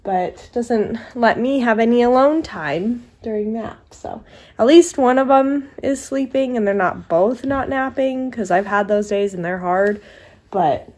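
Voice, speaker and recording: A woman's voice: a little high, measured pace, darkish tone. A young American woman chatting casually to her vlog as a mother, recorded at home in a living room on a handheld camera. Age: young adult. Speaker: vlogging mother of young children.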